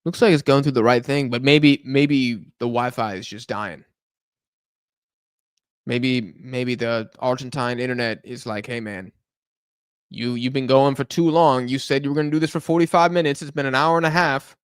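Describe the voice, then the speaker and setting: Casting: young male streamer, tired and a bit hoarse, rambling chat, dry close sound. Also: mid-range voice, fairly even intonation, moderate pace, dry recording. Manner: chatty, rambling, answers chat questions. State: tired, losing his voice. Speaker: live stream host. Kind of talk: casual live stream chat. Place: home room in Argentina.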